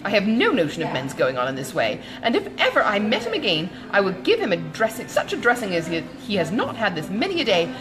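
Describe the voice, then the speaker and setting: An older gentlewoman from a classic storybook, played by a woman reader, chatters on with great feeling. Her pitch leaps and swoops as she exclaims, kindly and gossipy, quick to take offence on behalf of others. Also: huge pitch swings, low-quality recording. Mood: indignant, consoling. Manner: exclamatory, chattering, dramatically emphatic. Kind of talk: audiobook character dialogue from a classic novel.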